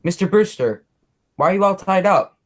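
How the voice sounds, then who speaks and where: A man's voice: sits high, expressive, normal speed. Young man as a chatty, oblivious policeman. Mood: friendly, surprised. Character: chatty, oblivious policeman and eager amateur playwright. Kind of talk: radio play acting.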